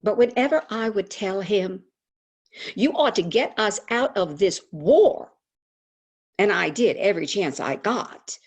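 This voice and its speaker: An actress playing a frank, patriotic woman advice columnist in a theatrical monologue, confiding and dramatic with deliberate pauses. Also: mid-range voice, lively intonation, breathy edge. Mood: wry, animated. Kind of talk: theatrical monologue, staged reading. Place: indoors, remote video call. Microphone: video call microphone.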